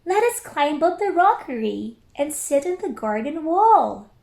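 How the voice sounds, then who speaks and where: A woman's voice: high-pitched, very animated, unhurried, vocal fry, a little mellow, pitched above the performer's own voice, exaggerated voice. A woman voicing an eager, playful young girl kitten from a storybook, bossy and coaxing. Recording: roomy echo.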